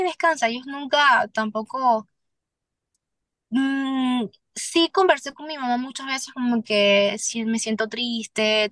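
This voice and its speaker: A young Venezuelan woman, a singer-songwriter, speaking candidly as a podcast guest, earnest and halting with restarts. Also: on the high side, sharp tone, no room sound. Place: Miami.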